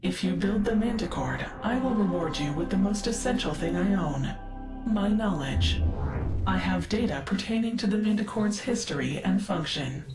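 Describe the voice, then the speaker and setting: A woman's voice: mid-range voice, lively intonation, close to an everyday voice. Video game AI archivist, female, actress: learned, wistful, precise, mid-range voice in echo over music. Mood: formal. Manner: measured, precise, formal. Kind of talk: scripted video game character dialogue.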